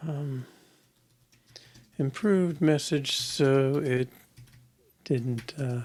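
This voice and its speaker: A man's voice: mid-range voice, extremely slow, a little mellow. Older man muttering over live coding. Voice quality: voice a bit off, slightly strained. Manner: muttering half-whispered asides while coding. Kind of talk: casual live coding commentary. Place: small home office, indoors.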